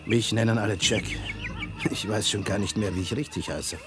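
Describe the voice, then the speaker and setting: A man's voice: fairly low pitch, normal speed, over music, lightly acted. A man playing a jaded, sardonic tour guide in a radio play, with an offhand, grumbling manner. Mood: weary, sardonic. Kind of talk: naturalistic radio play acting. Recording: very noisy outdoor ambience with birds.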